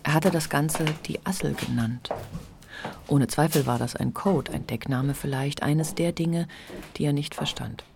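Creaky-voiced male narrator. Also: a little high, measured pace, background noise. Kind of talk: scripted literary narration in a radio play.

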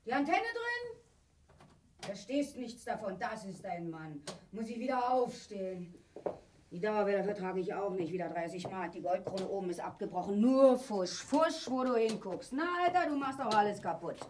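A woman's voice: medium pitch, big pitch swings, moderate pace, a little mellow, recorded from a distance, a little hiss or hum, close to an everyday voice. An actress playing a bitter, complaining old grandmother and long-suffering wife in a grotesque radio drama. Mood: nagging. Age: older. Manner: complaining, snappish.

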